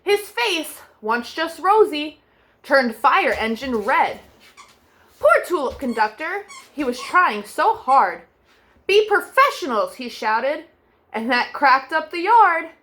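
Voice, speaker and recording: Bright, lively woman reading to kids. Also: sits high, slow pace. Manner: expressive, sing-song read-aloud. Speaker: children's storytime host. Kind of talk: reading a picture book aloud.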